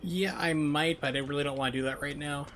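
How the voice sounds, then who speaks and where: A man's voice: on the high side, steady tone, fast, a bit bright. Casual male game streamer, noisy background. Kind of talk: casual live gameplay commentary. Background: video game sounds.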